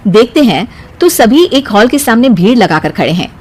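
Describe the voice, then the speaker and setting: A woman narrating a cartoon moral story in a lively storytelling manner. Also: fairly high pitch, moderate pace, husky.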